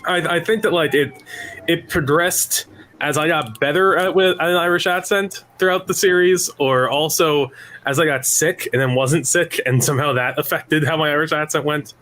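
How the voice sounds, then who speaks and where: A man's voice: fairly high pitch, moderate pace, a bit bright. Rambling man thinking aloud. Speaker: cast member of a tabletop podcast. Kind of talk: casual Q&A chat. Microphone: remote mic over a video call.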